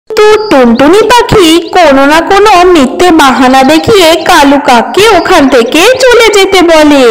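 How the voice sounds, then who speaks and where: A woman's voice: very high-pitched, rough recording. A woman storyteller reading a scripted children's tale as narrator.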